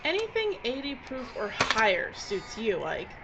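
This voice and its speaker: A woman playing a curt, exasperated, sharp-tongued documentary director in an audio drama. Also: a little high, wildly swooping pitch, unhurried, heavily acted. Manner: curt, commanding, sardonic. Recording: muffled, clearly noisy outdoor ambience.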